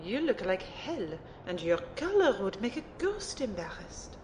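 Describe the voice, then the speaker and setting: A woman's voice: mid-range voice, sing-song, measured pace, a little hiss or hum. A woman playing a haughty but fond mage mentor in an audio drama, with a condescending, theatrical manner. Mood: teasing fondness. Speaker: voice actor in an amateur audio drama. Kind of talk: acted dialogue in an audio drama.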